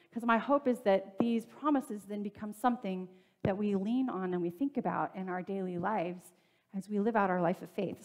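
A woman in her thirties preaches from the lectern of a church sanctuary. Her delivery is easy and conversational, with small asides and fillers as she talks to the congregation. Her voice sits at an ordinary middle pitch for a woman. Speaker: pastor. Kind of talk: sermon.

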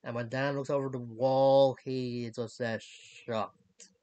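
Young male vlogger for a casual recap: unhurried, halting, disjointed phrasing, dry sound. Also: medium pitch, flat delivery, dark tone, dry recording. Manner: halting, disjointed delivery, searching for words. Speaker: reviewer of animated series. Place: small cluttered bedroom, indoors.

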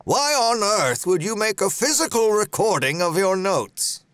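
A commanding executive who is used to being obeyed. His voice sits fairly high and moves in lively swings. It is recorded close and dry for an audio drama. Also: a little slow, clear, resonant tone, no room sound, full character voice. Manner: controlling, insistent. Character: smooth commanding male pharma executive. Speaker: corporate boss.

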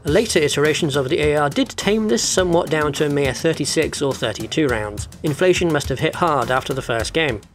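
A man's voice: a little high, lively intonation, a little fast, few pauses. A man delivering scripted video narration with casual asides in a wry, opinionated manner. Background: background music.

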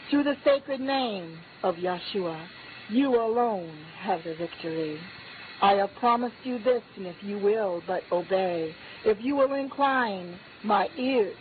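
A woman delivering a prophetic word in the voice of God, in a solemn, repetitive declaring cadence that lifts on key phrases. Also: mid-range voice. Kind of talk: prophetic utterance spoken as God. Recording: tinny phone-like line with faint hiss.

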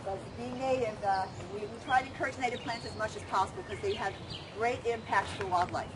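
A middle-aged woman with a somewhat high voice guides a nature walk. She explains informally, with halting starts. The outdoor camera recording is very noisy, with birds behind her. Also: steady tone. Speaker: walking tour guide, plant educator. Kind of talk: informal outdoor talk and answering questions. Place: outdoors in a wooded riverside park. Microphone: camera among the crowd, a few metres off. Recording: outdoor camera recording with loud background noise.

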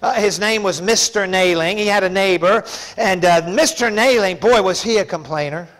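A man's voice: high-pitched, normal speed, fairly bright tone, rough recording. A middle-aged man preaching a sermon in a church as pastor, speaking in an emphatic, folksy, conversational way. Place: church sanctuary, preaching from a pulpit.

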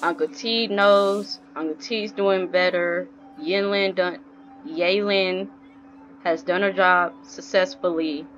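Slow-talking woman, dull mic. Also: fairly low pitch, fairly even intonation, fairly bright tone, a little hiss or hum. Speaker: gaming reaction streamer. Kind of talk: casual off-the-cuff game commentary. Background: faint game music.

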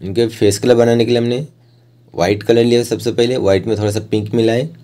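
A man with an Indian accent narrating a step-by-step art tutorial informally, in short phrases with hesitant pauses. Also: mid-range voice, steady tone, dark tone.

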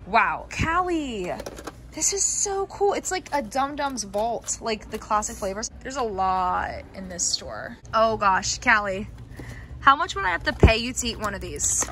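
A young woman chatting bubbly and fast to her handheld camera while shopping in a mall and candy store. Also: on the high side, expressive, pushed and bright. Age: young adult. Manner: bubbly, chatty, quick gasps and laughs. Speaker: vlogger. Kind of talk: casual shopping vlog. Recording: noisy mall ambience.

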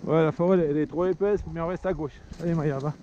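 A man with a high voice calls out short, repeated, encouraging commands as he coaches his children down the slope. He is filming with an action camera while he skis outdoors. The sound is dull and lo-fi, with faint wind behind him. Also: fairly even intonation, quick pace, dark tone. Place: outdoor snowy mountain ski slope and forest. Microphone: action camera worn while skiing.

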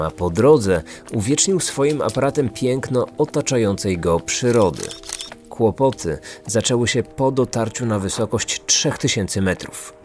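A man's voice: lowish voice, a little mellow. A man hosting a podcast and reading scripted true-crime narration. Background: faint music.

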